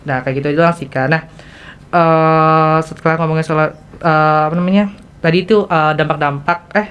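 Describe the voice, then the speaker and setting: Student podcast host: teen girl, Jakarta accent, lowish pitch, chatty but stumbling delivery. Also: fairly even intonation, fairly bright tone, dull audio. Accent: Jakarta Indonesian. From Indonesia. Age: teens. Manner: halting, hesitant delivery with repeated self-interruptions. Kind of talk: casual conversational interview.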